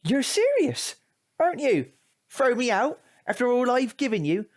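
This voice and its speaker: A man's voice: very high voice, very animated, normal speed. A British man playing a cheeky, aggrieved teenage boy in a comic audio drama, indignant, slangy and wheedling. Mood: hurt, pleading. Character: cheeky, aggrieved, foul-mouthed male teenage tearaway.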